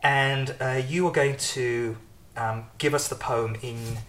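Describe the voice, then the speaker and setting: A man's voice: mid-range voice, fairly even intonation, slow, fairly bright tone. A man hosting a podcast, chatting casually with a guest in a home kitchen and talking with many fillers and restarts. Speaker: podcast host. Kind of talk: casual conversational interview.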